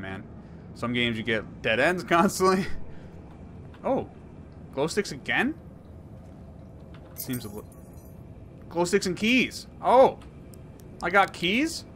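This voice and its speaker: A man in his thirties commenting on a horror game he plays, rambling and jokey, swinging from mumbles to yells. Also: fairly high pitch, slight background noise. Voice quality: hoarse at times. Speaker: gaming YouTuber. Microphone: headset.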